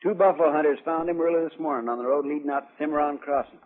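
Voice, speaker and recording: A man's voice: fairly high pitch, racing, close to an everyday voice. A man playing a folksy, deferential marshal's sidekick in a radio western, with a rural American accent. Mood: dutiful, earnest. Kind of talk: 1950s radio western acting. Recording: muffled, echoey vintage radio broadcast.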